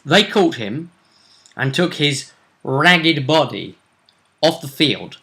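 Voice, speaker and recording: A man's voice: fairly high pitch, lively intonation, slow pace, pushed and bright. A man talking casually as a game master, recapping tabletop role-play in hesitant storytelling fragments.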